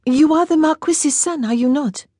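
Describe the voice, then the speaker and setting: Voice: sits high, normal speed, mellow, no room sound, studio quality, voice pushed up, close to an everyday voice. A narrator voicing a playful, teasing young French girl in an audiobook, in a lilting, coquettish manner. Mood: curious. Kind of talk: audiobook character voice.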